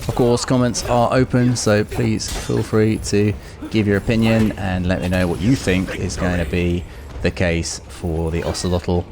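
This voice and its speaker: Low-voiced man giving gaming commentary. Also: level tone, a little slow, hardly any pauses. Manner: informal, chatty, rambling. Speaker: gaming video host. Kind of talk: casual gameplay commentary. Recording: noisy with clear background noise.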